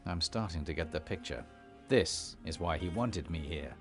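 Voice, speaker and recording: An actor playing a resolute emissary and elder in a fantasy video game, firm, serious and authoritative. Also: male voice, low voice, normal speed, faint music behind. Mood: stern. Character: commanding male dragon-blooded emissary and elder, resolute hero. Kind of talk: dramatic video game acting.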